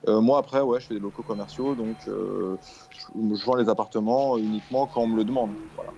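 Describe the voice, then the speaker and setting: A man's voice: fairly low pitch, fairly even intonation, brisk, creaky, dark tone, highs cut off, slight background noise. A man with a Parisian accent chatting on a night radio show, hesitant and full of fillers. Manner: hesitant, many fillers and restarts. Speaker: radio panelist. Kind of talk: casual radio chat. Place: radio studio.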